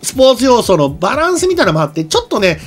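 A man in his forties chats as a talk show co-host over background music. His pitch jumps around a great deal, and he rambles on with a fan's enthusiasm in a casual style. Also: huge pitch swings, racing. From regional Japan, outside Tokyo. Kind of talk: casual chat about anime. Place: small streaming studio, indoors. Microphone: desk microphone on boom arm.

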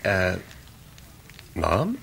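An actor playing a mild, nervous, deferential English country doctor, hesitant and formal, in a radio drama. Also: male voice, low-pitched, wildly swooping pitch, very slow, creaky, a bit bright, slightly rough, heavily acted.

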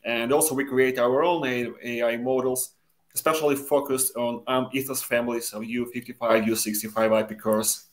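A man's voice: medium pitch, fairly even intonation, moderate pace. A man in his forties, a company co-CEO, giving a friendly self-introduction as a guest over a video call.

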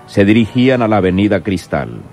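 A man's voice: lowish voice, very animated, fairly quick, creaky, darkish tone, faint music behind. A man with a Mexican accent narrating a scripted radio drama.

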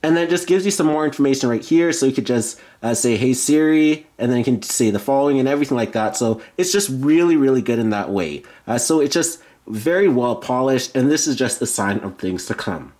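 A man's voice: mid-range voice, animated, brisk, few pauses, darkish tone. A man giving an informal, explanatory product demo as a tech reviewer.

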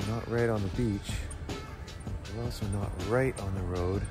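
A man in his thirties talking casually to a handheld camera about his travels, chatty and relaxed, full of fillers. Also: lowish voice, a little slow, over music. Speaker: travel vlogger. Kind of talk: casual vlog talk to camera. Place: inside an SUV and outdoors by a coastal beach. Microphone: handheld phone or camera at arm's length.

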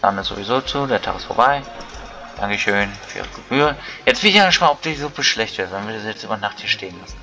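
A man's voice: on the low side, animated, fast, vocal fry, pushed and bright. Man casually commenting on a video game. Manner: colloquial, thinking aloud. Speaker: gaming video creator. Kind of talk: casual let's play commentary. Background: game music.

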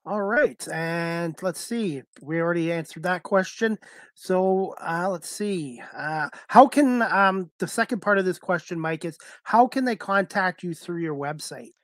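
A middle-aged man with a Canadian accent, hosting a casual interview in a halting way over a headset. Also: sits high, a bit bright, no echo, clean studio sound. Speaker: talk show host, interviewer. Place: indoors, on a video call.

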